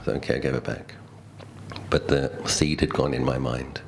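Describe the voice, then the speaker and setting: Casting: middle-aged Australian male, extremely low register, Buddhist teacher sharing personal anecdotes conversationally and reflectively, light background noise. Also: steady tone, normal speed, gravelly. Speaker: Buddhist monk giving a talk. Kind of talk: dhamma talk with personal storytelling.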